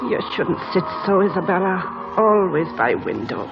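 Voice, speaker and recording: A woman's voice: lowish voice, big pitch swings, moderate pace, creaky, over loud music. Kindly sad female servant, very varied intonation, muffled old radio broadcast. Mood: gently concerned. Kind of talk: old-time radio drama acting.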